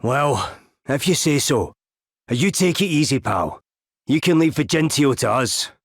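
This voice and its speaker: A man's voice: medium pitch, a little slow, dry recording, clean studio sound. A man playing a plucky, encouraging wizard adventurer in a video game cutscene. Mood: reassuring. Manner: jaunty, encouraging, expressive. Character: plucky, upbeat male wizard, loyal friend and adventurer.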